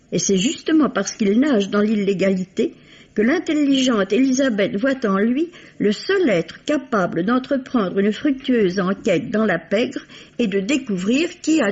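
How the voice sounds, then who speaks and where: Slightly husky woman book reviewer. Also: on the high side, a little mellow. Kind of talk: scripted radio book review. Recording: muffled vintage radio recording.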